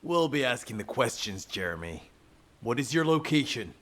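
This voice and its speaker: A man's voice: medium pitch, very animated, moderate pace, fairly bright tone. An actor playing a stern male military field operative in a game, speaking in a firm, businesslike way. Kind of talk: dramatic game cutscene acting.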